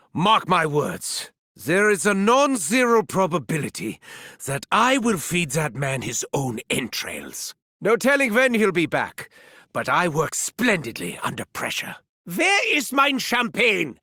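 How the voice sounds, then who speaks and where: An actor voicing an arrogant, unhinged German mad scientist in a video game, pompous, theatrical and gleefully sinister, with a German accent. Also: male voice, a little high, sharp tone, breathy edge, no echo, clean studio sound, heavily acted. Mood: menacing, then frenzied. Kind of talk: video game character voice lines.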